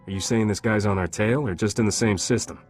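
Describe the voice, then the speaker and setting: Low-voiced, cocky space smuggler played by a man. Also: quick pace, darkish tone, faint music behind, natural voice. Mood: wary. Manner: flippant, dry. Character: cocky, wisecracking male smuggler captain. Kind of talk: video game cutscene acting.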